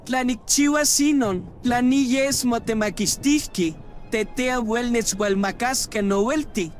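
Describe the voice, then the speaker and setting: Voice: medium pitch, slow, a little hiss or hum, lightly acted. A performer voicing an anxious, bewildered youth in a fan-dubbed cartoon, hesitant and questioning. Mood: pleading, doubtful. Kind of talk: fan-dubbed animated drama.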